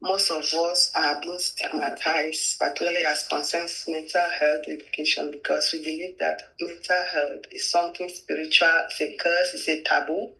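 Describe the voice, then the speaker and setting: Community participant from Sub-Saharan Africa, sharp-edged voice at middling pitch, speaking in an interview. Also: little pitch movement, normal speed, vocal fry, hardly any pauses, poor audio. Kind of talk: giving views in an interview.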